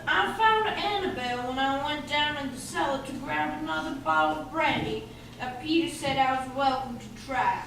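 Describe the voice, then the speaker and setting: A woman's voice: sits high, lively intonation, moderate pace. A woman playing a belligerent drunken man in an amateur radio play. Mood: boozy. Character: drunk, belligerent hothead man, whining and loud. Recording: very echoey room, distant mic, faint hiss.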